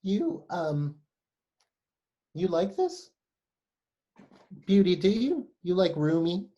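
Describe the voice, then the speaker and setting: A man's voice: sits high, very animated, slow, dark tone, muffled recording, full character voice. A man in a play reading over video call, playing a shy, earnest young poetry lover, hesitant and apologetic.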